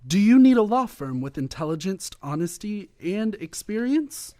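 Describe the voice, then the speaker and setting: Male commercial announcer, highly varied pitch, scripted radio ad delivery. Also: sing-song, normal speed, mellow. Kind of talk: scripted radio advertisement read.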